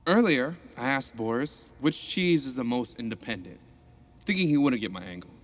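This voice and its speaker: An actor playing a cheerful, jokey male rehab counselor in an audio drama, with a playful, lively delivery. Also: medium pitch, moderate pace, lightly acted.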